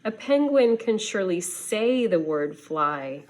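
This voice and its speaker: A woman reading a children's story aloud in an expressive, deliberate teacher's manner. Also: medium pitch, low-quality recording. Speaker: schoolteacher reading to students.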